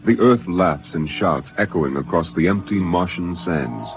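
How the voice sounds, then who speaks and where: Very deep-voiced male narrator. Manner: measured, atmospheric storytelling. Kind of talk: scripted dramatic narration. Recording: narrow-band vintage radio with music underneath.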